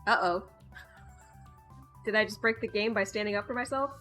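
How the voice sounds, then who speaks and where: A woman reading a visual novel aloud with casual, playful, wry asides, in an expressive manner. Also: mid-range voice, moderate pace. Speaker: gaming video host. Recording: background music behind the voice. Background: music.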